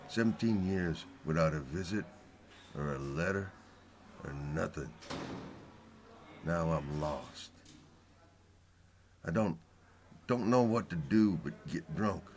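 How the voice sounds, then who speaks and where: A man giving personal testimony in short, halting, plain-spoken vernacular phrases. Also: low-pitched, lively intonation, darkish tone, slight background noise. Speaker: former prisoner giving testimony.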